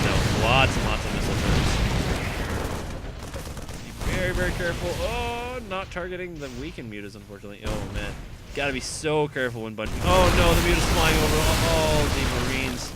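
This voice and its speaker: Raspy-voiced young man casting a game. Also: mid-range voice, very noisy. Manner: excitable, rising to shouts in big fights. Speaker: esports caster. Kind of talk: solo play-by-play game commentary.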